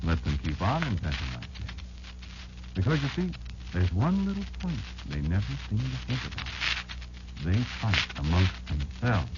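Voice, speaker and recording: An actor playing a tiny, embittered insect elder who patiently instructs a child in a dramatic, halting radio-play monologue. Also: male voice, deep voice, wildly swooping pitch. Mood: grim, confiding. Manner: dramatic, halting, deliberate storytelling with emphatic swings. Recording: muffled, very noisy old radio recording.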